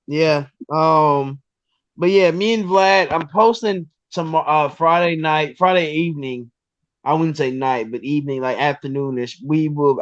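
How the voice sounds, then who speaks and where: A man in his twenties, a guest on a sports podcast, talking casually and excitedly with lots of restarts and ums. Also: on the high side, no echo, highs cut off. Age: 20s.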